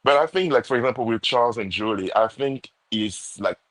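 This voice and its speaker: A man's voice: mid-range voice, fairly quick, fairly bright tone. A man whose first language is not English, chatting casually with frequent laughs, searching for words. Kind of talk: casual live chat.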